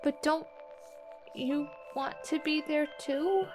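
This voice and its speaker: A woman's voice: high-pitched, takes it slowly, music in the background, poor audio. A woman playing a tabletop game, speaking improvised in-character dialogue.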